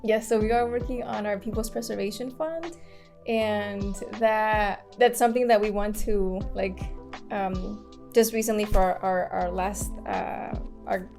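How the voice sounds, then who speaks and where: A woman from Mexico speaking as a community organizer in an interview, talking haltingly with many fillers and restarts. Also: medium pitch, steady tone, a little mellow, faint music behind. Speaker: community land trust organizer. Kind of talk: casual interview talk.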